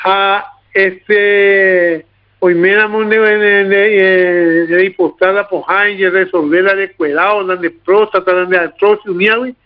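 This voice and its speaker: A man's voice: high-pitched, fairly even intonation, takes it slowly, smooth. A man with a Paraguayan accent hosting an informal radio talk show, repeating himself as he goes. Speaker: radio host. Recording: narrow, tinny radio broadcast sound.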